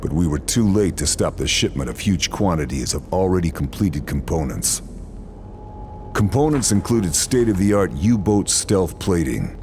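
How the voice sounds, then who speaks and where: An actor playing a grim American sniper who narrates in a terse, matter-of-fact way. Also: male voice, sits low, very animated, normal speed, noisy background. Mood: determined. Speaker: narrator and lead soldier.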